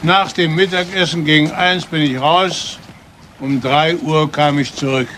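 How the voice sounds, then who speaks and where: A man's voice: a little high, fairly even intonation, unhurried, pushed and bright, slight background noise. An actor playing a bitter, defiant older father in a German crime radio play, speaking curtly and repeating himself for emphasis. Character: bitter, defiant older family patriarch under police questioning.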